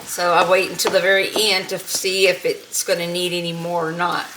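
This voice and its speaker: A middle-aged woman hosting a casual home cooking video, talking in an unhurried, homey way while she cooks, with frequent fillers. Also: lowish voice, little pitch movement, noisy background. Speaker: cooking channel host. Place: home kitchen. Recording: home kitchen with sizzling pan. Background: frying and sizzling.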